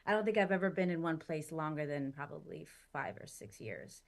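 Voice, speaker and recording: A woman born in Chile and raised in Southern California, with a fairly low voice. She chats animatedly as a guest and fills her pauses with ums. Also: gravelly. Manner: chatty and animated, many fillers. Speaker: guest actress. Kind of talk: casual interview chat.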